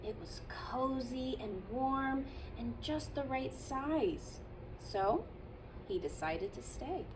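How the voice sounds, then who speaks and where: A childcare teacher, a woman, reading a picture book aloud to children in a gentle storytelling manner with playful asides. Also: high voice, animated, takes it slowly, recorded from a distance, background noise.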